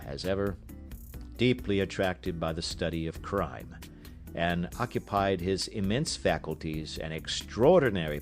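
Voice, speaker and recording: A man narrating an audiobook in an expressive literary style, with measured, careful phrasing. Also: low-pitched.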